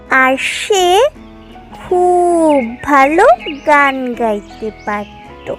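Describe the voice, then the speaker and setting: Lady reading a kids moral story, high voice, super slow scripted narration, bit of music behind. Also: fairly bright tone.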